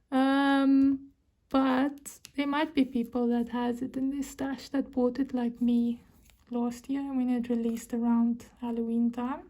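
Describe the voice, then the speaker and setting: A woman's voice: on the high side, flat delivery, slow, mellow. A woman from South Africa with a South African accent, a native Afrikaans speaker, chatting casually while she works on a craft and often dropping to a whisper as she counts. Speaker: crafting vlogger.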